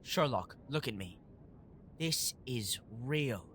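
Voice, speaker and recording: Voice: medium pitch, lively intonation, takes it slowly, a bit bright, slight background noise. A performer playing an earnest young street urchin leader, urgent and pleading, in an audio drama. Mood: pleading, insistent.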